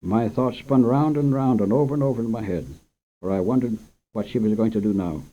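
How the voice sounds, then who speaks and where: A man narrates a short story for children in an unhurried, gentle way, at an ordinary pitch for a man. It comes through as an old radio recording, dull and lo-fi.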